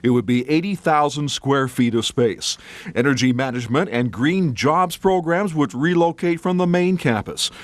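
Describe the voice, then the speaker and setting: A man's voice: fairly high pitch, moderate pace. An American man narrating a scripted TV news report as a field reporter.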